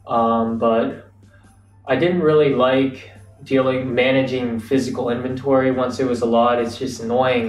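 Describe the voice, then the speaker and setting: Relaxed American man, echoey distant mic. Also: medium pitch. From United States. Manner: relaxed, reflective, many fillers like "you know". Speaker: guest, entrepreneur. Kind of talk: casual interview storytelling. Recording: roomy, distant mic, faint ambience.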